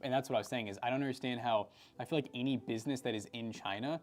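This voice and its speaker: A man's voice: mid-range voice, very fast. A young man co-hosting a livestream, chatting casually, laughing often and restarting his sentences. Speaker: livestream co-host. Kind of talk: casual chat.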